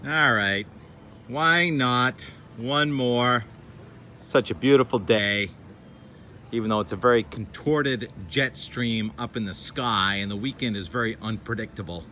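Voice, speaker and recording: A man's voice: medium pitch, measured pace, bright, forceful tone. A man vlogging casually outdoors on a phone, musing aloud about the sky and weather in short, enthusiastic remarks. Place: outdoors, beach and waterside. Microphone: handheld phone. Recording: clear outdoor ambient and vehicle noise.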